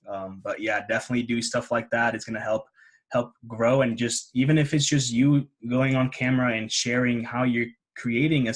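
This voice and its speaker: A man hosting a casual podcast interview, talking with enthusiasm and giving advice. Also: fairly low pitch. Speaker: podcast host.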